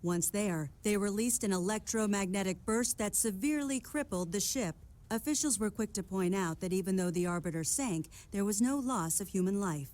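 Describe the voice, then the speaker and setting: Woman as female news anchor, medium pitch, composed, formal scripted bulletin style, video game cutscene. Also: normal speed, darkish tone, lightly acted, poor audio. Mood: composed reporting.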